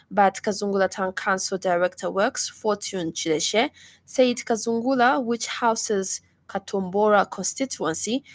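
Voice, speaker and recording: A woman with a Zambian accent reads a scripted radio news bulletin in a formal, measured manner. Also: medium pitch. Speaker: news reader.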